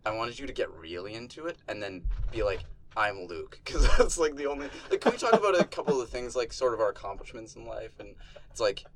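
A man's voice: medium pitch, very animated, fast, noisy background. A man in his twenties co-hosting a casual podcast, rambling and cutting himself off, anxious and sweaty in a small hot room. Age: 20s. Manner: rambling, self-interrupting, fidgety. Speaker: podcast co-host. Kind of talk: casual chat.

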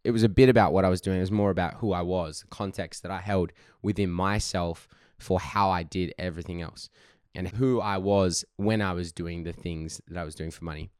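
A deep-voiced man in his thirties hosts a relaxed solo podcast from his couch. His voice is husky from a cold but still resonant, and the recording is close and dry. He rambles, laughs often and swears casually. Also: fairly quick, dry recording. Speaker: podcast host. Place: sitting on his couch at home.

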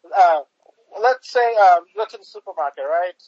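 A man co-hosting a podcast, chatting casually about politics in a halting way, piling up fillers and restarts. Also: sits high, animated, darkish tone, muffled recording, slight background noise. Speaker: podcast co-host.

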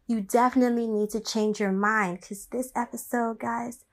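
A woman's voice: fairly high pitch, fairly even intonation, moderate pace. A young woman chatting informally and peppily to her listeners as a podcast host. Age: young adult.

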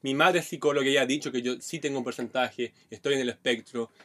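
A man's voice: mid-range voice, fairly even intonation, fast talker, fairly bright tone. A man from Brazil with a Brazilian accent, co-hosting a podcast in casual chat with a clipped, choppy way of talking. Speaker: podcast co-host, comedian.

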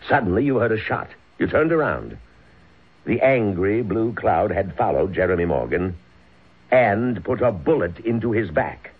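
Stern bossy scheming dad, old radio drama, deep and really animated delivery. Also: male voice, normal speed, slightly rough. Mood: cold, calculating. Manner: stern, commanding, impatient. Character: domineering, scheming rich father coaching his guilty son. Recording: muffled phone-like old radio recording.

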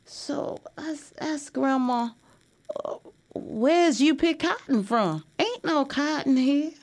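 A woman voicing a curious, tender young granddaughter, telling her story in hushed, wondering dialect. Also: high-pitched, lively intonation, takes it slowly, dry recording, pitched above the performer's own voice, full character voice. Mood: curious, puzzled. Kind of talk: dramatic audio storytelling.

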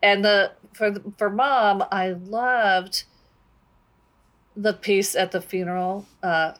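A woman co-hosting a book discussion, talking casually in a measured, deliberate way. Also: mid-range voice, steady tone, a bit bright.

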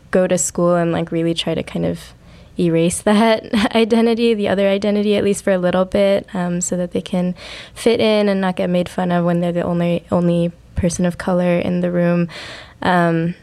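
Young woman, native Urdu speaker, lower voice, reflective and halting podcast guest. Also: darkish tone. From United States. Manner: reflective, hesitant, many fillers. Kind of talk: personal storytelling.

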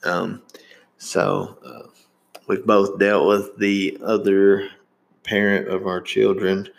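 A man's voice: lowish voice, little pitch movement, slow pace, vocal fry. A man in his thirties from Indiana with a Southern accent, hosting a podcast in casual, rambling chat. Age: 30s. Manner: rambling, many fillers and restarts. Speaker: podcast host.

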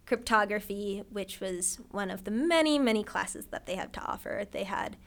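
A teenage girl from Ohio, a high school senior, chatting enthusiastically as a podcast guest. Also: animated, normal speed. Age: teens. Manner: enthusiastic, upbeat. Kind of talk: casual interview chat.

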